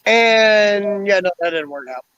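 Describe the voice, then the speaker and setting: A man's voice: high voice, lively intonation, measured pace, fairly bright tone, clean tone, no room sound. Forties guy talking loose and swearing a lot, muffled lo-fi sound, dry. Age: 40s. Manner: blunt, profane, rambling. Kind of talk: casual chat.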